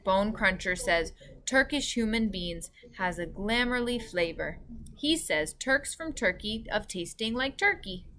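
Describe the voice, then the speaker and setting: A woman's voice: mid-range voice, lively intonation, a little slow, bright, forceful tone, slight background noise. A woman voicing a huge, boastful man-eating giant from a children's storybook, with emphatic, ungrammatical speech. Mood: relishing. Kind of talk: children's storybook read-aloud.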